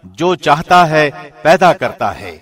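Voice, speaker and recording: A man calmly reading a scripted translation in measured phrases, recorded in a studio. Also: a little high. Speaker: translation narrator. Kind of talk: scripted narration.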